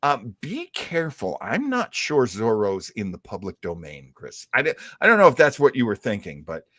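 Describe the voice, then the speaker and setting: A man's voice: on the low side, huge pitch swings, moderate pace, fairly bright tone, no echo. Man hosting a casual chat, close dry sound. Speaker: host of a livestream about classic radio and comics. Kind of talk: casual live chat commentary.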